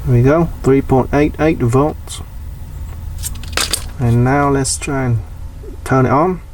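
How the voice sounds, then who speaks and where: A man's voice: medium pitch, fairly even intonation, slow pace, a little mellow. Man demonstrating, distant noisy mic. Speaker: DIY tutorial host. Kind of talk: casual hands-on demonstration narration. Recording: distant mic, background noise.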